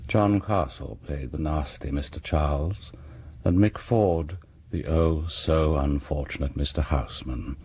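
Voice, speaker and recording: Radio horror host guy, super deep raspy mellow voice, scripted narration, kinda wry n sinister. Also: a little slow. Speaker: drama series host and announcer.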